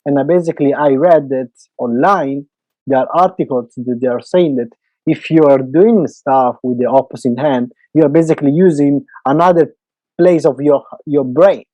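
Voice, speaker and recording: A man's voice: medium pitch, normal speed, mellow, no room sound, highs cut off. A man in his twenties from Italy, speaking English with an Italian accent as a podcast host. He is eager and talkative, and he searches for words. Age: 20s. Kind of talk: casual podcast chat.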